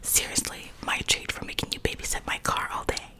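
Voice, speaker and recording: A woman's voice: fairly quick, natural voice. A woman voicing a friendly, teasing young man in a whispered story reading. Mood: playful.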